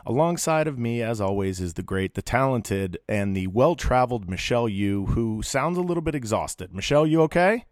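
Lively-voiced male podcast host. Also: mid-range voice, normal speed, hardly any pauses, no echo, clean studio sound. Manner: upbeat, bantering. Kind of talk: casual interview.

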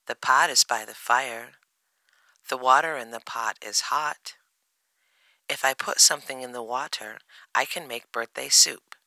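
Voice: medium pitch, a little slow, bright, forceful tone, no echo, rough recording. A narrator voicing a cheerful, eager little boy bear cub in a children's storybook. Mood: eager, planning. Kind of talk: children's storybook character reading.

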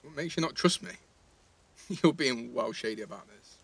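Lively, cheeky English teenage lad. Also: male voice, medium pitch, moderate pace, gravelly, slightly rough, heavily acted. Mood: wry, probing. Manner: teasing, slangy, blunt. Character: cheeky teenage boy in care, teasing, blunt, guarded. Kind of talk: naturalistic audio drama dialogue.

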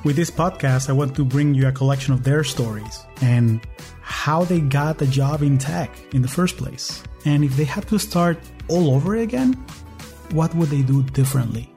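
A man from Venezuela, hosting a podcast interview in an earnest, measured way with some halting restarts. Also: medium pitch, darkish tone, soft background music. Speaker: podcast host.

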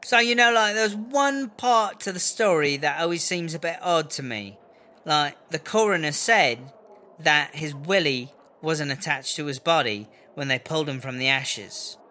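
Man playing a high-voiced, rambling British inmate. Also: animated, unhurried, bright, forceful tone. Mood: gossipy, incredulous. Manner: rambling, slangy, sweary. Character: brash, foul-mouthed, chatty British prison inmate. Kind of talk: comedy audio drama storytelling. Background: music.

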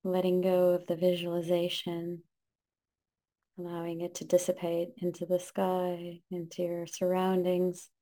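A woman leading a guided meditation, giving calm, gentle instructions in short, spaced phrases. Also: fairly low pitch, a little slow.

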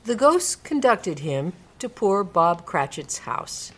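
A woman narrating a classic story in a live dramatic reading, with measured, theatrical phrasing. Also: mid-range voice, sing-song, a bit bright.

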